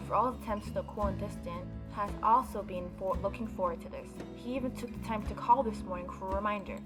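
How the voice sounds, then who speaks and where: A woman hosting a gaming video, reading a visual novel aloud and adding informal, opinionated commentary. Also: medium pitch. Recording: background game music behind voice.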